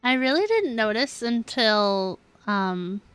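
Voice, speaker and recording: A woman's voice: fairly high pitch, very animated, fairly bright tone, smooth, no room sound, soft background music. Casual young woman streamer, dry close sound. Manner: giggly, halting, conversational. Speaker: game streamer. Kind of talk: casual chat with viewers during a livestream.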